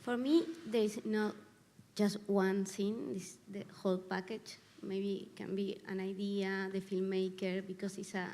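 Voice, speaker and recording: Halting woman co-producer in a reverberant hall. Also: medium pitch, flat delivery, warm tone. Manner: searching for words, halting. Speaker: film co-producer. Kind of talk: panel discussion answer.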